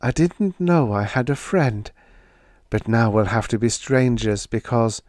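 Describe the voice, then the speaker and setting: A man reading as a wistful young woman, pitched up. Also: animated, a little slow, dry recording, studio quality, lightly acted. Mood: grateful, sad. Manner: soft-spoken, resigned. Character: young woman, bride-to-be, wistful, turning cynical.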